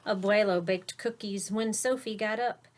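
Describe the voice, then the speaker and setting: A woman's voice: mid-range voice, fairly even intonation, normal speed, slight background noise. A woman reading a children's picture book aloud like a teacher, expressively, with simple explanations for young listeners. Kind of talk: storybook read-aloud with explanatory asides.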